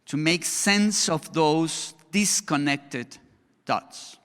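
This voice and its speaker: A man who is not an English speaker presents at a conference in slow, halting speech, often breaking off and starting his sentence again. His voice sits a little high for a man. The sound carries the long reverb of a large hall. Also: a bit bright, clear, resonant tone. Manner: slow, halting, frequent restarts. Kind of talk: conference talk with audience Q&A.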